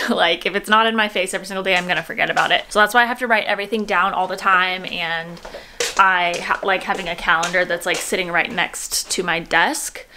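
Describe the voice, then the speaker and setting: A woman's voice: medium pitch, sharp tone, a little hiss or hum. A woman in her twenties with an American accent, chatting casually to camera in a rambling, informal way as she vlogs. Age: 20s. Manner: rambling, informal, self-interrupting. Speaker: lifestyle vlogger. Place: rooms in a home, indoors. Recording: echoey bare room, music underneath. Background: background music.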